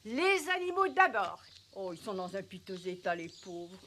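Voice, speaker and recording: A woman voicing a bustling, motherly housekeeper who fusses and scolds good-heartedly in a French animated film. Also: mid-range voice, normal speed, slight background noise. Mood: tender. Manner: fussing, motherly. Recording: music behind the voice.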